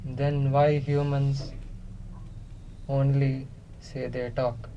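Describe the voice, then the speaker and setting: A man playing a bewildered, childlike man in an experimental radio play, asking basic questions in halting, stammered fragments. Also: medium pitch, flat delivery, warm tone. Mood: halting puzzlement. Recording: slightly noisy, muffled room recording.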